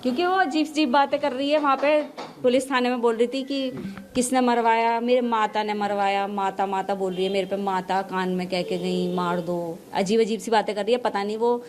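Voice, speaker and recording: A woman's voice: a little high, steady tone, moderate pace, a little hiss or hum. A middle-aged woman, answering interview questions in an emphatic, emotional way and repeating herself. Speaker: mother being interviewed.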